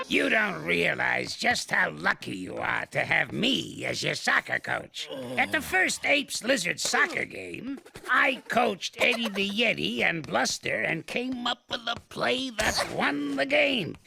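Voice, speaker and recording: An actor playing a grumpy, bossy elderly male ape in a cartoon series, scolding and calling others names with a creaky, gravelly old voice. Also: very high-pitched, full character voice. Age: older. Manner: grumbling, scolding, name-calling. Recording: music loud behind the voice.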